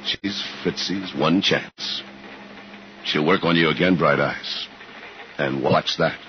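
An actor playing a terse, hard-boiled detective in a 1950s radio drama, talking in clipped slang. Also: male voice, rumbling low, slow pace, slight background noise. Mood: brooding, stern. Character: hard-boiled detective, doubting and self-reproaching, tailing a suspect. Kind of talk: 1950s radio drama acting, noir narration. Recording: muffled 1950s radio recording, music behind.

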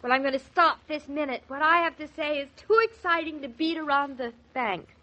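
An actress playing an affectionate, excitable young newlywed wife in a 1940s radio drama, girlish, earnest and a little bossy. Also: sits high, brisk. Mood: excited, bossy. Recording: muffled 1940s radio recording.

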